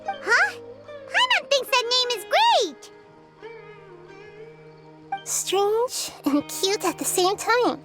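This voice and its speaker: A tiny floating fairy sidekick from a video game, with an extremely high, squeaky child's voice. She is cheeky and excitable and exclaims a lot, with faint music behind her. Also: drawn-out words, long pauses, exaggerated voice. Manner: refers to self in third person, exclamatory. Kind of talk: video game character acting.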